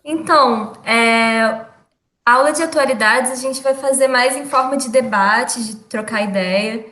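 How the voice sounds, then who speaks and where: A young woman teaching an online class in a relaxed way, full of fillers and laughs. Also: on the high side, a little slow, echoey room. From Rio de Janeiro, Brazil. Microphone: video call.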